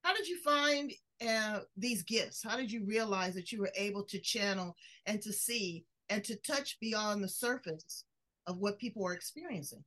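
A woman's voice: mid-range voice, moderate pace, pushed and bright. A woman hosting an interview show in a conversational style, introducing and questioning a guest. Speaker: podcast host interviewing a guest.

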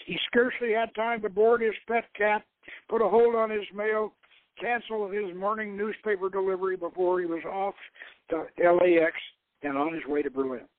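A man narrates a novel in a dramatic storytelling style. His voice is somewhat high, and he occasionally stumbles over a phrase. It sounds dry and narrow, as if over a phone. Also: little pitch movement, normal speed, dry recording. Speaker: host reading his own novel.

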